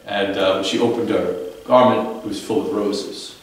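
Priest, 50s, low voice, chatty homily storytelling, echoey chapel, picked up from across the room. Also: male voice, fairly even intonation, measured pace, recorded from a distance. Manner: conversational storytelling, fillers and restarts. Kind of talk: daily homily. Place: small chapel. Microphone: far camera.

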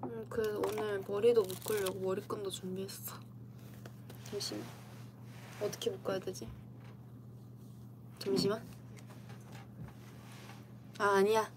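Giggly young woman chatting slowly on a livestream. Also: medium pitch, a little hiss or hum. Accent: Korean dialect. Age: young adult. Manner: giggly, playful, talks to viewers' comments. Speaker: idol streamer. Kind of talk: casual livestream chat. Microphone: computer livestream mic.

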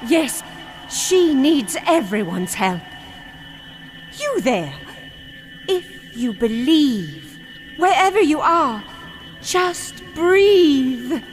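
A soothing ritual guide coaxes listeners in a radio comedy. The voice is very high, extremely slow and lilting, with music behind. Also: female voice, heavily acted. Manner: hypnotic, coaxing. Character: soothing female guide leading a breathing ritual.

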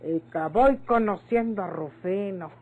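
An actress playing a protective, sharp-witted country woman with a northern Mexican accent in an old radio drama, earnest and rustic. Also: mid-range voice, unhurried, narrow, tinny audio, background noise, close to an everyday voice. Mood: sly recounting. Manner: earnest, rustic turns of speech.

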